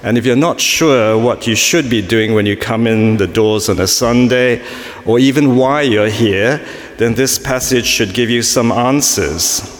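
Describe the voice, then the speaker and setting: A man's voice: mid-range voice, unhurried. A man from Singapore giving a sermon at a family church service, chatty and self-mocking, with frequent ums and asides. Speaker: preacher. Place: large church. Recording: echoey church with long reverb.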